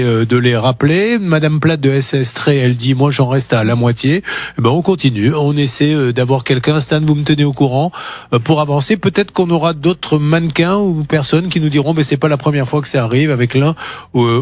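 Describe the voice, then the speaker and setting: A man hosting a live radio show from the studio, his voice sitting a little high for a man. He has a cold and a runny nose, talks in an animated way, jokes, and cuts people off. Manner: animated, quick to interrupt, joking. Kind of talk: live consumer-help radio talk.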